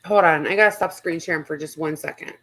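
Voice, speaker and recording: A woman's voice: sits low, fast, a bit bright, slight background noise. A woman chatting casually as the host of a livestream panel, blunt and informal, swearing freely. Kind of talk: casual panel chat, gossip commentary.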